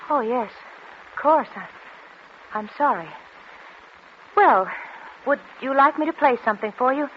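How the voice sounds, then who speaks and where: An actress playing a fragile, anxious, obedient wife, hesitant and placating, in 1940s radio style. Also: female voice, high-pitched, lively intonation, a little slow. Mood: nervously bright. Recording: dull, lightly noisy vintage radio recording.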